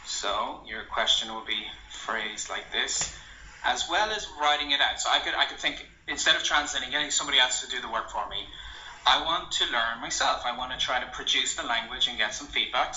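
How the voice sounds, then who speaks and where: A young man talks through an app in a loose, rambling way, filling pauses with ums and uhs. His voice is bright and cutting, and the recording has a roomy echo and a faint hiss behind him. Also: medium pitch. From Ireland. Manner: relaxed, meandering, with frequent ums and uhs. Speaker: language blogger. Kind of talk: casual app walkthrough. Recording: roomy, slightly dull home recording.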